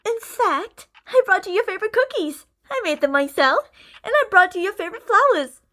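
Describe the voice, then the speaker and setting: A woman's voice: very high voice, very animated, a little fast, a bit bright, full character voice. A woman playing an obsessive, delusional young female stalker and former bully in an ASMR roleplay, clingy and emotionally volatile. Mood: eerily calm. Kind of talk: ASMR roleplay, dramatic monologue to listener.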